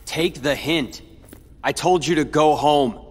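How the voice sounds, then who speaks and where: A man's voice: fairly high pitch, takes it slowly, breathy edge. A young man playing a cold, bitter teenage rival in a video game cutscene, speaking in a taunting manner.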